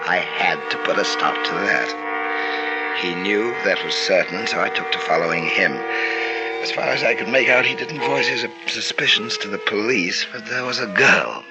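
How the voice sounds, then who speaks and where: A man's voice: lowish voice, moderate pace, close to an everyday voice. A man playing a sinister poisoner doctor. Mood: cold. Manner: smooth, coldly calculating, murmuring asides. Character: sinister middle-aged male poisoner doctor narrating his crimes. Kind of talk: 1940s radio acting. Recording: dull old radio transcription with music.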